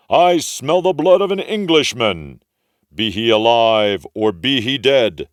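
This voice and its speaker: A man voicing a huge man-eating ogre in a storybook, bellowing bossy commands and drawn-out chants. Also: fairly low pitch, clean tone, no room sound, clean studio sound, pitched above the performer's own voice.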